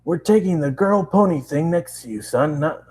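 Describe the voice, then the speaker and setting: Man acting a gruff, shouting police captain. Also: a little high, lively intonation, moderate pace, pitched above the performer's own voice. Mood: commanding. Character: gruff, take-no-prisoners male police captain with megaphone.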